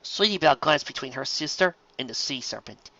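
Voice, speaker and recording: An expressive narrator reading scripted story fiction into a close microphone, on a slightly dull home recording. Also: mid-range voice, a little fast, dry recording. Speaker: fan fiction audiobook narrator.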